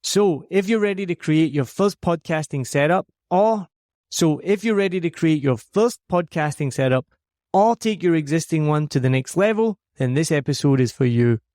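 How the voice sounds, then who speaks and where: A man's voice: fairly high pitch, animated, normal speed, a little mellow, no echo, studio quality. Scottish man, upbeat podcast host. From Scotland. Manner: upbeat, promotional, earnest. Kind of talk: scripted podcast monologue. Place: home recording studio.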